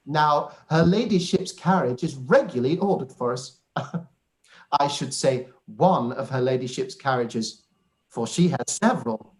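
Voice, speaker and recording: A man's voice: medium pitch, animated, a little slow, natural voice, poor audio. A man playing a pompous, obsequious clergyman in a radio play, wordy and effusive. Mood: gushing, boastful. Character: pompous, fawning male clergyman cousin, self-satisfied and obsequious. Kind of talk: radio play live read.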